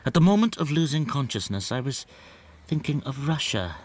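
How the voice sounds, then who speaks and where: An actor playing a mild, lonely, wronged bookseller in despair, halting and introspective in a radio drama. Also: male voice, mid-range voice, very animated, breathy edge. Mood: brooding, wistful. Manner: introspective, halting, talking to himself. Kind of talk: radio drama acting with interior monologue.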